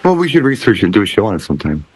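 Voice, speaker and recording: A man's voice: on the low side, very animated, rapid-fire, slightly rough, faint music behind. A man co-hosting a casual talk show, explaining things conversationally. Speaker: podcast co-host.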